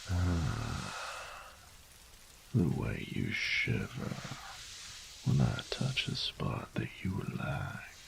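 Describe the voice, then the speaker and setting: A man playing a flirtatious, doting roommate and lover in an intimate ASMR roleplay, with a teasing, folksy drawl and breathy, whispery moments. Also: sing-song, extremely slow, pauses often, full character voice. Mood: teasing, tender. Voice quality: very deep, gravelly, breathy whispers.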